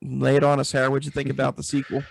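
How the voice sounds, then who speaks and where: A man's voice: medium pitch, completely flat delivery, quick pace, creaky, no room sound. A man casually hosting a podcast, introducing each item and passing it to his co-hosts. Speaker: podcast host.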